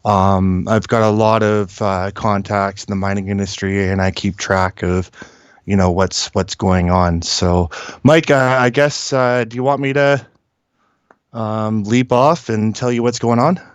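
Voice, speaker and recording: A man speaking as a guest caller, giving informal industry commentary in a halting, blunt, opinionated way with many filled pauses. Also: lowish voice, fairly even intonation, smooth, no echo.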